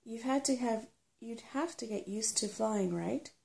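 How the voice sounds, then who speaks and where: A woman's voice: a little high, a little slow, creaky, darkish tone. A woman reading the part of a crabby, bossy, sarcastic female brownie in a storybook.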